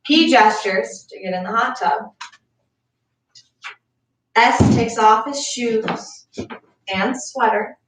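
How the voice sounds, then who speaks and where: Slow-reading woman in an echoey room. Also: fairly high pitch. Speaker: stage-directions reader. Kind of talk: scripted narration. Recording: echoey, distant mic, clear background noise.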